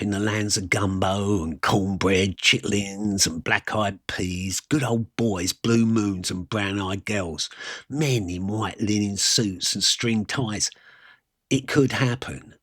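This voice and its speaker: A man with a husky voice, telling a first-person story in a wry, colloquial, self-deprecating way. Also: fairly low pitch, a bit bright, dry recording, studio quality. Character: wry working-class South London man reminiscing about his youth.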